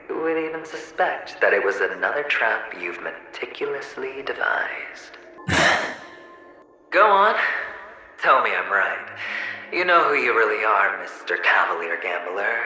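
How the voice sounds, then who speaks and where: An actor playing a taunting inner double of a young gambler in a video game, theatrical and sneering with drawn-out phrasing, chuckles and an echo effect. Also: male voice, mid-range voice, pushed and bright, heavily acted. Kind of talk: dramatic video game acting. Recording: echoing voice under clear music.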